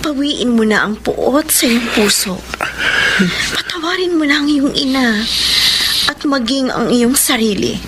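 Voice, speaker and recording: Gentle counseling wife, Filipino accent, high-ish voice, very slow, radio drama, music behind. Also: female voice, expressive, very noisy. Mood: tender urging. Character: gentle, forgiving young wife counseling her husband.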